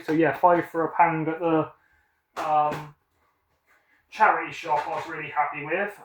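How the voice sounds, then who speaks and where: A man's voice: a little high, reverberant, slight background noise. A British man in his thirties chatting casually about his film collection, rambling and correcting himself as he goes. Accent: British English. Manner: rambling, self-correcting, upbeat. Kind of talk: casual chat showing a film haul.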